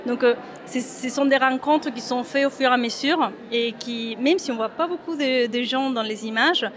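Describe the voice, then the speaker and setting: A hesitant woman from Mexico with a somewhat high voice answers an interviewer's questions about her work. She restarts her sentences often and fills pauses with "uh" as she searches for words. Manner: hesitant, many fillers and restarts. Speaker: photographer. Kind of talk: interview at an exhibition opening.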